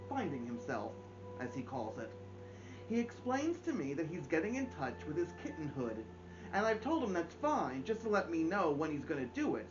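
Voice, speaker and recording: A middle-aged man reading aloud to children as a story time host, with theatrical delivery and deliberate pauses. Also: on the high side, highs cut off. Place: indoors, small home room.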